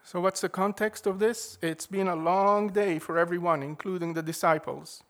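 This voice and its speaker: A man preaching a sermon in a measured, emphatic way, leaning hard on key words. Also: sits high, fairly even intonation, a bit bright.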